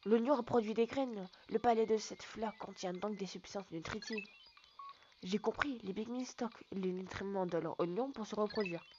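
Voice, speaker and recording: A young player reading a video game's ship computer lines aloud in their own voice, with plain, even delivery. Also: mid-range voice, normal speed, muffled recording, background noise, natural voice. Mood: informative. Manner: reads on-screen game text aloud plainly. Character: ship's computer voice from a video game.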